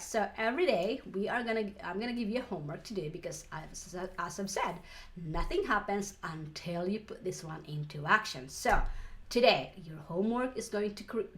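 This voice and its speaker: A woman from the Philippines with a Filipino accent, teaching an online course lesson in an earnest way, with frequent restarts and soft laughs. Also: mid-range voice. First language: Filipino language. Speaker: online business coach.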